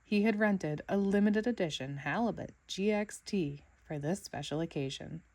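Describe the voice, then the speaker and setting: A woman reading a children's storybook aloud in an expressive narrating style. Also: medium pitch, normal speed. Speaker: storybook reader.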